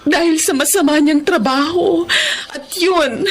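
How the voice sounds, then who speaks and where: A woman's voice: high voice, unhurried. An actress with a Filipino accent playing a remorseful wife and mother in a radio drama, pleading and contrite.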